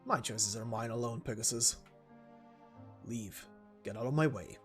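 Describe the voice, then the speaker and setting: A man's voice: mid-range voice, a little slow, a bit bright, natural voice. A man voicing a proud, bitterly defiant young male warrior in terse, contemptuous lines from a game, over music. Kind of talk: dramatic reading of game dialogue.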